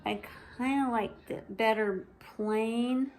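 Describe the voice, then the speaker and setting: Meek little-sister storybook animal, woman reader; high-pitched, hesitant, doubtful, always questioning. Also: slow, darkish tone. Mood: unsure, meek. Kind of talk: children's storybook character voice.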